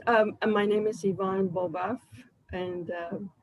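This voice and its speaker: A woman from Puerto Rico introducing herself on a video call, halting with many fillers. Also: medium pitch, fairly even intonation, rough recording. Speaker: company director. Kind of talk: casual self-introduction.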